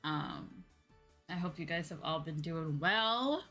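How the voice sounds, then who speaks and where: Bright-voiced woman chatting casually on livestream. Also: low-pitched, expressive, slow pace. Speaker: VTuber streamer hosting a chat stream. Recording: background music behind voice. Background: music.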